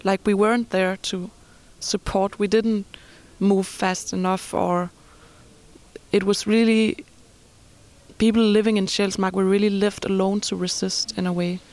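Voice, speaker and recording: A woman giving a thoughtful, reflective account, often pausing in mid-sentence. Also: medium pitch, level tone, a little mellow. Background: crowd and ambient noise.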